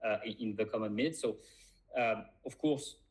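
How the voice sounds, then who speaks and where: Guy on a podcast, lowish voice, lots of uh and you know, kinda hesitant. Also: moderate pace, a little mellow, slightly rough. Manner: hesitant, many fillers and restarts. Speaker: podcast co-host. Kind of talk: casual chat.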